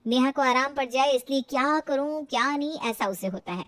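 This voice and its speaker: A woman's voice: high voice, moderate pace, a bit bright. A woman narrating a scripted family moral tale as a storyteller.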